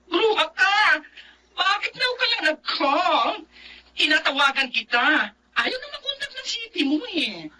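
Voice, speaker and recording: A woman's voice: very high-pitched, big pitch swings, unhurried, pushed and bright, slight background noise, full character voice, rough recording. An actress playing a concerned young female cousin, earnest and chiding, in radio drama style. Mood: scolding. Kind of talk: Filipino radio drama acting.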